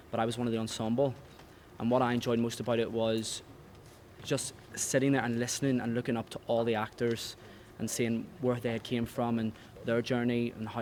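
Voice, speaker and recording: A man's voice: medium pitch, flat delivery, a little fast, darkish tone. A young man, an actor giving a sincere interview. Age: young adult. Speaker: pantomime actor. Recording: faint background ambient noise.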